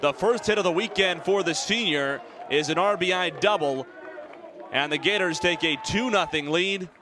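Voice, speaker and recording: A young American man doing live sports play-by-play, describing the action in crisp detail over venue noise. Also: high-pitched, moderate pace, a crowd in the background. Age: young adult. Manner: crisp detailed descriptions, voice raised on big plays. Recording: live game ambience, clear background noise. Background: sports venue sounds.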